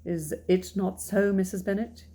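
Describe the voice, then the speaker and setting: A woman voicing a calm older gentleman father from a classic novel, deadpan, measured and formal. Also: medium pitch, natural voice. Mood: mock stern.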